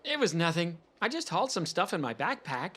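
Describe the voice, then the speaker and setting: A woman's voice: on the low side, big pitch swings, a little fast, very noisy. A woman voicing a mild, modest young male teacher in an anime dub. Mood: easygoing. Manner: modest, easygoing.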